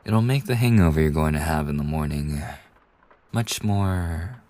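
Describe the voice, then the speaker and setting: A man playing a kind, polite young bartender in an ASMR roleplay, speaking softly and breathily in a courteous, soothing way with hesitant pauses. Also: rumbling low. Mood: gentle, welcoming. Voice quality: soft, breathy. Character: kind, polite young male bartender, gently attentive university student. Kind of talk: ASMR roleplay, soft intimate scripted acting.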